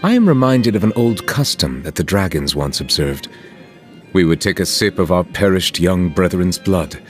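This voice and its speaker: Game role: dignified male chief justice, deep, reserved and eloquent, with expressive intonation and music underneath. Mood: reflective, solemn. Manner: formal, measured, eloquent and reserved. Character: solemn, courteous chief justice of a fantasy nation. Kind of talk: scripted video game character lines.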